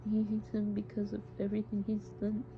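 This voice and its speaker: A young adult woman talking haltingly and informally, as if into a personal audio diary. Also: medium pitch, completely flat delivery. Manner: hesitant, halting, informal with swearing. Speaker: audio diarist. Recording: muffled home recording, clear background noise. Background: background noise, friends' voices.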